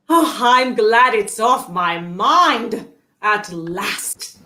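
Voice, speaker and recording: An actress in a 1930s-style radio play voicing a frail, dutiful dying woman who speaks haltingly, with sighs. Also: fairly high pitch, animated, pushed and bright, echoey room, exaggerated voice. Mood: relieved, fading. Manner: halting recitation with sighs and gasps. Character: dying music-hall memory woman, frail, earnest, dutiful.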